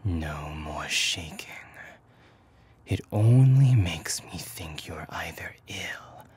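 A man voicing a menacing, possessive demon in a breathy ASMR roleplay whisper, coolly polite and formal. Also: sits low, animated, a little mellow, a little hiss or hum. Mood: coldly soothing. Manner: slow, formal, deliberate.

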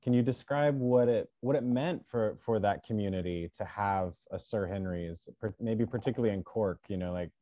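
A man's voice: fairly low pitch, normal speed, hardly any pauses, no echo. A man in his thirties hosting a casual online interview and putting questions in a conversational way. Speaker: interviewer, host. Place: small furnished room at home. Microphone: computer mic on a video call. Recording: dull video-call sound.

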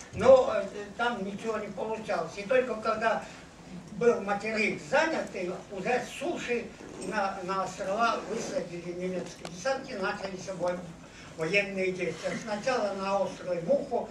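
A man in his eighties or older, a veteran recounting his memories to an audience, haltingly and searching for words. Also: sits high. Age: over 80. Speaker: war veteran giving testimony. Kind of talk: unscripted personal recollection to an audience. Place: meeting hall with seated audience. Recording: echoey hall, noisy, distant camera mic.

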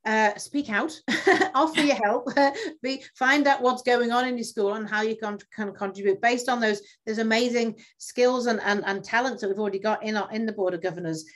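A woman's voice: a little high, moderate pace, hardly any pauses. Middle-aged woman, panel guest, cheerful, video-call audio. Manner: upbeat, laughing between phrases. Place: indoor room with shelves.

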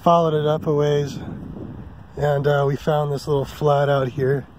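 Male vlog host, slightly high voice, laid-back and rambling, recorded outdoors with faint background noise. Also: a little slow, poor audio. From Hawaii. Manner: relaxed, rambling, many ums and uhs. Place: open mountain meadow campsite outdoors.

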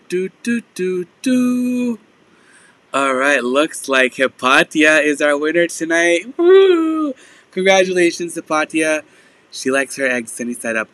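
A man in his thirties hosting a livestream sale from a small room at his desk, announcing a giveaway winner with a playful, animated sing-song manner. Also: a little high. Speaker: live sale host. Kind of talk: livestream selling chat. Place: small indoor room with shelves of plush toys. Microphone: webcam at desk.

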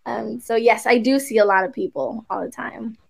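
A woman's voice: a little high, steady tone, normal speed, creaky. A young woman whose first language is Spanish, chatting bubbly and laughing often as an online English tutor on a video call. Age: young adult. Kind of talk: casual chat on a video call. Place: indoor room.

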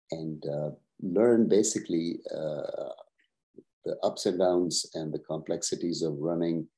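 A man in his sixties, originally from Pakistan, answering interview questions over a video call at a measured pace, with many "uh" pauses. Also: very deep voice, warm tone. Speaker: guest, professor and retired executive.

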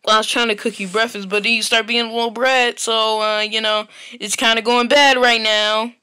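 A man's voice: sits high, level tone, normal speed, sharp tone, clear, resonant tone, dry recording, studio quality. A man voicing a stern, short-tempered adoptive mother in a game roleplay, blunt and threatening. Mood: gruff.

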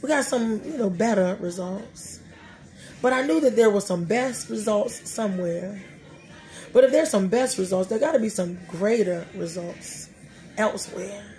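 Animated woman preaching to herself. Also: mid-range voice, unhurried, a little hiss or hum. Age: 30s. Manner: animated, preachy, talks to herself, laughing asides. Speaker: podcast host. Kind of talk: casual faith talk. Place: small room, indoors before a dark curtain.

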